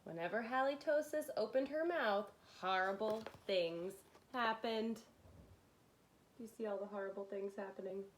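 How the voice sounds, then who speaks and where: A woman reading a picture book aloud to young children in an expressive, dramatic way, like a kindergarten teacher with playful asides. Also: medium pitch, moderate pace, a little hiss or hum, poor audio.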